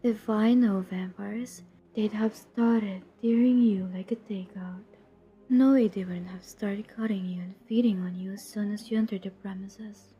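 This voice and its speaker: A woman playing a centuries-old vampire who hunts her own kind in an audio roleplay, cold, menacing and drily teasing, in a deliberate half-whisper. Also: medium pitch, steady tone, mellow, lo-fi sound, soft background music. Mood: wry, probing. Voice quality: breathy, husky, half-whispered. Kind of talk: intimate scripted audio roleplay.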